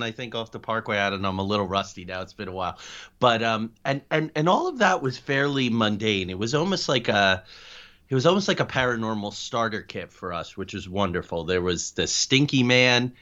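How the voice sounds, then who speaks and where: A man's voice: lowish voice, lively intonation, normal speed, pushed and bright. A middle-aged American man speaking as an interview guest, telling stories conversationally. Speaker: interview guest, paranormal investigator and writer. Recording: dull, band-limited lo-fi audio.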